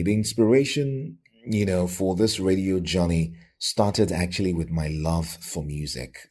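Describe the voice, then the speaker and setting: A middle-aged Nigerian man with a Nigerian accent, telling his own story reflectively in an interview with a radio presenter's deliberate, emphatic delivery. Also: deep voice, darkish tone, slightly rough. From Lagos, Nigeria.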